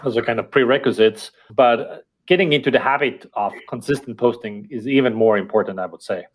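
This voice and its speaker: Podcast guest, male, Estonian native, reflective and halting, mid-range voice. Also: medium pitch. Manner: thoughtful, hesitant with fillers and restarts. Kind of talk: casual interview talk.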